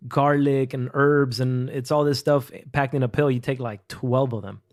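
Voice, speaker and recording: A man from Costa Rica speaks as a guest in a studio, with a mid pitch and an easygoing, joking manner. His voice is a little stuffy from a cold. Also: steady tone, moderate pace. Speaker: singer, podcast guest. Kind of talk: casual podcast chat.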